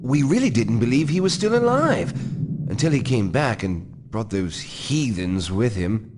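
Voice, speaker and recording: A man's voice: mid-range voice, very animated, a little slow, darkish tone. A man playing a nervous, deferential household servant in a video game, confiding in a formal, dramatic way over background noise. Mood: confiding, grave. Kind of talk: video game dialogue, storytelling.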